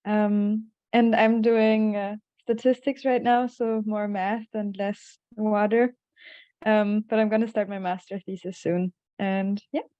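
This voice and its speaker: A woman in her twenties from Germany introduces herself with a light, relaxed touch. Her pitch stays even. The call audio is dry and dull. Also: measured pace, dry recording. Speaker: master's student panelist. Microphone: video call.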